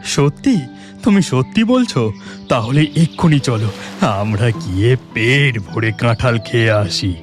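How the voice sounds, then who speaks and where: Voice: medium pitch, lively intonation, a little slow, natural voice. Someone doing a greedy hungry god in a cartoon, super eager, voice all over the place, faint music. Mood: excited, eager. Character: gluttonous, eager young god Balaram, pleading for food. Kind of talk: Bengali cartoon dialogue.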